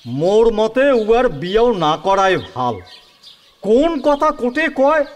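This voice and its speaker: A man's voice: sits high, huge pitch swings, measured pace, exaggerated voice. A man speaking with a Kamtapuri accent, playing a greedy, scheming middle-aged village man haggling over a dowry in a rural radio play. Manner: scheming, wheedling, bargaining. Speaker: Sanatan, a scheming matchmaker's ally.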